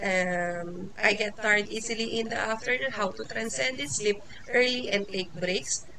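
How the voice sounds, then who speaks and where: A woman's voice: medium pitch, steady tone, unhurried, gravelly, crowd noise. A Filipino woman in her forties whose first language is Tagalog, giving an informal lesson in English with many fillers, restarts and small laughs. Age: 40s. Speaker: teacher. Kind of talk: informal lecture.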